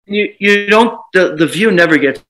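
A man in his thirties talks over a laptop webcam from a dim living room, his voice bright and a touch high. He rambles and restarts often, with animated bursts, in a relaxed video call chat. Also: normal speed. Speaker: home seller.

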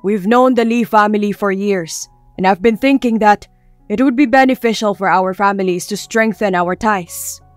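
A woman's voice: medium pitch, moderate pace, no echo, close to an everyday voice. Lady doing a strict middle-aged dad in an audio drama, firm and formal, dry recording over music. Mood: firm, persuasive. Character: stern, authoritative Chinese-Filipino father, middle-aged.

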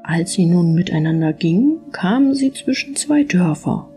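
A woman reading a scripted fairy-tale audiobook as narrator, with expressive storytelling. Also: lowish voice, moderate pace, faint music behind.

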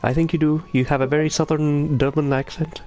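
A Scottish man with a Glaswegian accent and a fairly high voice. He talks casually while gaming, in a jokey, readily amused way. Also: flat delivery, fairly quick, darkish tone. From Glasgow, Scotland. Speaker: gamer on a livestream. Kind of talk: casual chat over online game.

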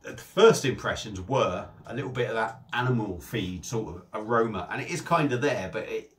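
A man's voice: fairly low pitch, expressive, moderate pace. Man chatting about beer. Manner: chatty, repeats himself, restarts phrases. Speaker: beer reviewer. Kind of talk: casual beer review to camera. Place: small home room with shelves.

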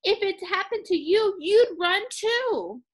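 Woman as a bossy talking object, loud and high. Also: expressive, takes it slowly, full character voice. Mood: indignant. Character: bossy talking objects: tree, stone, cloth, river, stool.

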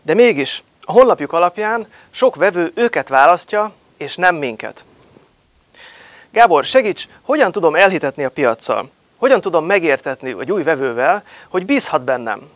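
A man's voice: a little high, sing-song, a bit bright, dry recording. A man in his thirties giving a promotional talk to camera, emphatic and full of rhetorical questions, recorded on a lapel mic in a studio. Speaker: marketing speaker.

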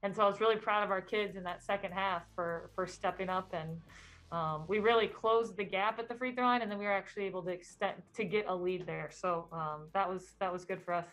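A woman's voice: medium pitch, steady tone, a little fast, fairly bright tone, low-quality recording. A woman head coach answering questions at a post-game press conference, speaking thoughtfully with frequent ums and restarts.

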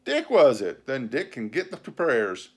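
A man reading a storybook, voicing a sly, scornful pirate captain who speechifies in rough nautical slang with sarcasm and bluster. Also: medium pitch, wildly swooping pitch, unhurried, a little mellow, pitched above the performer's own voice, full character voice. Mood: sardonic, mocking. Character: sly, scornful, commanding male pirate captain and sea cook.

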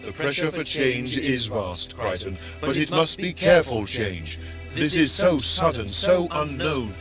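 An actor playing a cautious, cryptic computer mind in a science-fiction audio drama, formal and restrained. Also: male voice, mid-range voice, animated, measured pace, lightly acted. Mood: cautioning. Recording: loud music behind the voice.

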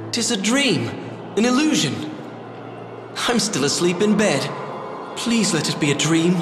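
A man's voice: high voice, very animated, slow pace, long pauses, heavily acted. A man voicing an earnest young prince turned pooka, speaking formally and old-fashioned. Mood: anxious. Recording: echoey with long reverb and game music.

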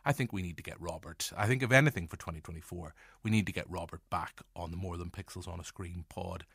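A man with a Northern Irish accent hosting a podcast, introducing it in playful, deliberate asides to the listener. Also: sits low, gravelly, a bit bright, breathy edge, dry recording, studio quality. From Northern Ireland. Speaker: podcast host. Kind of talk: scripted-sounding podcast introduction.

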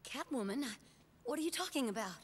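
An actress voicing a frightened, flustered young woman with a bright, anxious voice. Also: high voice, lively intonation, a little fast, long reverb tail, slight background noise. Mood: nervous.

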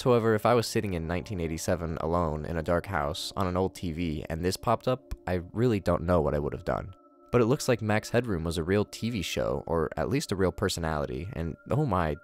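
A young man reading his scripted commentary on a horror video series, in a measured way. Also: low-pitched. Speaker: video reviewer.

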